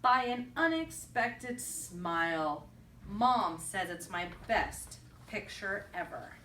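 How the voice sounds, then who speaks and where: A woman reading a picture book aloud to young children, pausing to question them and repeat their answers back. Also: medium pitch, expressive, pushed and bright. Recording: roomy echo, distant mic, faint noise.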